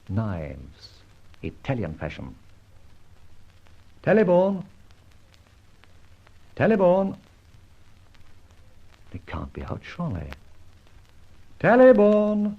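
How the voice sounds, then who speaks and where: An actor playing a dashing, sardonic masked vigilante in an old-time radio drama, speaking in a theatrical, clipped and commanding way. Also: male voice, fairly low pitch, very animated, slow, creaky, pauses often, husky, heavily acted. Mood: musing, searching. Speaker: lead actor in a radio detective serial. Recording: dull vintage radio recording.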